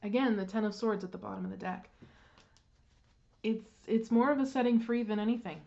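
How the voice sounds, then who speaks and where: Woman tarot reader, stuffed up and throat-clearing, meandering delivery with lots of ums, slightly dull recording. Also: medium pitch, fairly even intonation, warm tone. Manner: unhurried, with frequent ums and restarts. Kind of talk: casual card reading.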